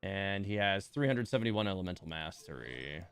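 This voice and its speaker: A man's voice: sits low, sing-song, normal speed, a bit bright, clear, resonant tone, dry recording, soft background music. A man giving casual, rambling live stream commentary on a game, thinking aloud as he plays.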